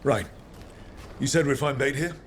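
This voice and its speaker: An actor playing an inquisitive fantasy adventurer hero in a video game, conversational and straight-talking. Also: male voice, mid-range voice, normal speed, slight background noise, lightly acted. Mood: curious, earnest. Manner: questioning, conversational. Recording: faint game ambience behind.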